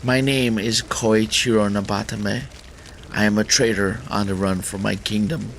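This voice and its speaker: A man playing a grave, weary fugitive swordsman in a visual novel, speaking solemnly and deliberately. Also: fairly low pitch, steady tone, creaky, a bit bright. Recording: noticeable background noise.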